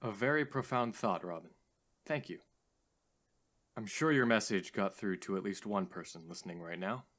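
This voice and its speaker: An actor playing an earnest, philosophical radio host in an audio drama, formal and slightly theatrical. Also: male voice, lowish voice, normal speed, a bit bright, lightly acted. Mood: courteous, sympathetic.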